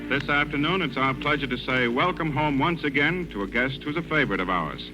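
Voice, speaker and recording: A man's voice: medium pitch, lively intonation, brisk, over music. A man hosting a 1940s radio program, giving scripted introductions. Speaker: radio show host. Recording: noisy vintage 1940s radio broadcast.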